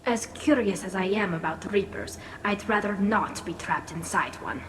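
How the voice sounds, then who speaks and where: A woman's voice: mid-range voice, lively intonation, moderate pace, close to an everyday voice, low-quality recording. A woman playing a cautious, earnest alien crewmate in a video game. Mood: wary. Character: cautious, wary young alien female crewmate. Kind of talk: video game cutscene dialogue. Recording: loud noise, vehicle-like ambience.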